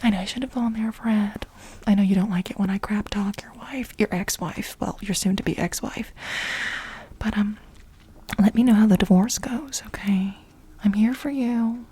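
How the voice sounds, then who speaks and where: Breathy whispering woman, soft and coaxing. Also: medium pitch. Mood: apologetic, coaxing. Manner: halting, coaxing delivery with repeated phrases. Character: obsessive, fawning female lab assistant with a crush. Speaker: ASMR role-play performer. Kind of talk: soft-spoken whispered ASMR role play.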